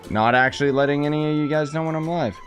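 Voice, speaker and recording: A man's voice: a little high, quick pace, faint music behind. A man giving casual, sardonic and grumbling commentary as he livestreams a game.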